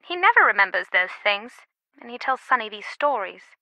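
A woman's voice: on the high side, big pitch swings, normal speed, sharp tone, no echo, heavily acted, poor audio. A woman playing a worldly, wry antiquities expert in an adventure game, talking in a conversational, confiding way. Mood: matter-of-fact, confiding. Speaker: video game voice actor. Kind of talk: scripted adventure game dialogue.

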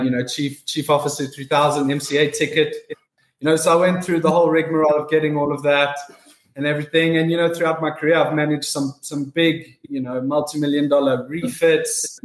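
A man answering casually as an interview guest, often repeating words as he goes. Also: a little high. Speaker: business development manager, interview guest. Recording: roomy, echoing sound.

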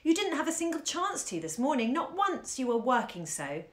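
A woman doing a sweet little girl with a high, chirpy, bird-like voice, coaxing and fond. The voice jumps lively and high, and a roomy echo surrounds it. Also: moderate pace.

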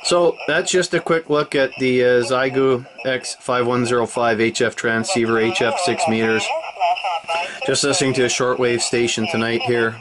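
A middle-aged man from Canada giving a casual, matter-of-fact and slightly halting hands-on equipment demo. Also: mid-range voice, little pitch movement, over a noisy crowd, rough recording. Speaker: amateur radio hobbyist demonstrating gear. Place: small cluttered indoor radio desk. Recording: loud shortwave radio noise behind voice. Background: shortwave radio broadcast and static.

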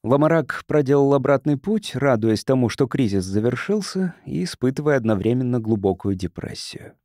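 A man's voice: mid-range voice, expressive, normal speed, dark tone, no room sound, clean studio sound. A man reading science fiction as a scripted audiobook narrator.

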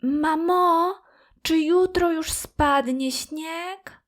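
A woman voicing an impatient, eager little girl in a children's audio story, with a pleading, excitable manner. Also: extremely high pitch, drawn-out words, pitched above the performer's own voice, heavily acted. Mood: hopeful. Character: impatient, eager preschool girl owl, full of hope.

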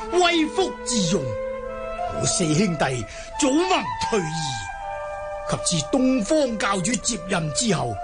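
An actor with a Hong Kong accent playing a weary, dignified old martial-arts recluse in a radio drama, mournful and halting. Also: male voice, high voice, heavily acted. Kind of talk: Cantonese radio drama acting. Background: music.

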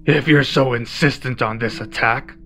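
A man playing a cold, menacing villain overlord in an audio roleplay, speaking imperiously and deliberately. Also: mid-range voice, steady tone, vocal fry, a bit bright. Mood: cold, suspicious. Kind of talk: roleplay ASMR villain acting. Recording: music behind the voice.

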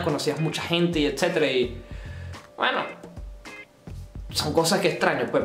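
A man's voice: on the high side, reverberant. A young man in his twenties with a Caracas Venezuelan accent, vlogging casually to camera in his bedroom, rambling and restarting his sentences. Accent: Venezuelan Spanish, Caracas. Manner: rambling, restarts often, gestures while talking. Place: bedroom indoors.

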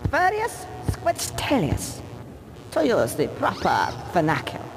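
Eccentric weasel professor, German accent, high lively pitch, pedantic, obsequious, game cutscene. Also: male voice, a little slow, noisy background, exaggerated voice. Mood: pedantic lecturing. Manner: pedantic, theatrical lecturing. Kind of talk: video game cutscene acting.

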